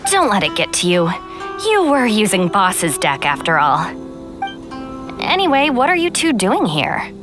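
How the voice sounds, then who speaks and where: A woman's voice: mid-range voice, huge pitch swings, a little slow. No-nonsense young woman, music behind. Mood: dry, teasing. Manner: matter-of-fact, no-nonsense. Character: sensible, cool-headed young woman keeping rowdy friends in line. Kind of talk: video game voice acting. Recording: music clearly under the voice.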